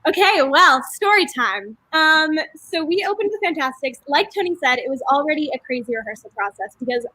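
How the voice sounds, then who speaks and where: Young woman, high voice, dry sound, animated casual storytelling. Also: fairly quick, dry recording, low-quality recording. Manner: animated, with many fillers. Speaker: cast member.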